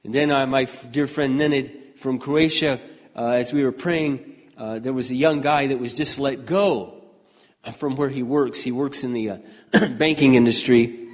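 Older guy pastor preaching casual, clears his throat a lot, muffled sound in a big echoey hall. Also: mid-range voice, steady tone, unhurried. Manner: keeps clearing his throat, folksy with many restarts. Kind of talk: conversational sermon. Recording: dull, muffled sound with long hall reverb.

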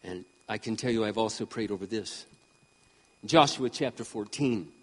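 A man around sixty preaching a sermon with impassioned emphasis, swinging between loud peaks and quiet asides. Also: lowish voice, moderate pace, husky, big-room sound, low-quality recording. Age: 60s. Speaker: pastor.

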